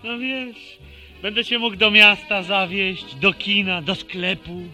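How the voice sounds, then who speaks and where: Bright, high-voiced scheming young village man. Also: steady tone, slow pace, soft background music, exaggerated voice. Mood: cajoling. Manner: colloquial rural speech, cajoling. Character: Edek, scheming young village man, teasing, bossy, lively. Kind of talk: Polish radio drama acting.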